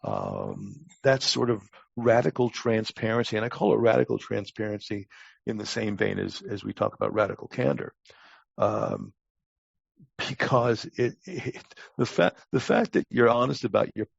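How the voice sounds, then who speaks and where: Older man with heavy gravelly creak. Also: on the low side, animated, no room sound. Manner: emphatic, halting with repeated words, blunt. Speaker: podcast guest, talent acquisition advisor. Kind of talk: conversational interview answers. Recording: dull, muffled lo-fi audio.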